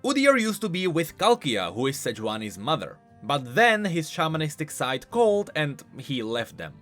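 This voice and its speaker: Animated, higher-pitched man explaining game lore. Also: normal speed. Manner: animated, emphatic. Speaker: host of a game lore channel. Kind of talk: explainer commentary on video game voice lines. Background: music.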